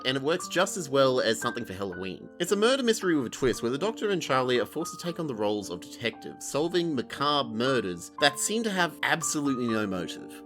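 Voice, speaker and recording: A man's voice: mid-range voice, fairly bright tone. An Australian man narrating a scripted review with informal asides, in a wry, blunt, colloquial manner. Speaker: video essay reviewer. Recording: music behind the voice. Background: background music.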